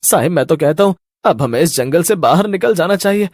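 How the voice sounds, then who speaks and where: A man's voice: a little high, expressive, fairly quick, darkish tone, no room sound, studio quality. Man playing an anxious, pleading constable. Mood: nervous, wary. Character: timid, fearful male police constable, a pleading family man. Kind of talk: Hindi horror cartoon voice acting.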